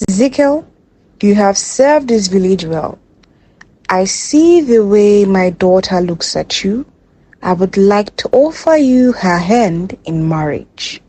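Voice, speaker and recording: A woman's voice: medium pitch, lively intonation, slow, warm tone. Woman voicing a stately, formal village king. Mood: gracious. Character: stately, approving village king, a grown father.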